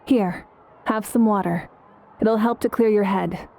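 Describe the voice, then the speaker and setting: An actress playing a calm, resolute female leader in a video game, composed and authoritative. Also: mid-range voice, unhurried, creaky, a little hiss or hum. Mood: calm, considering. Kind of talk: English video game character acting.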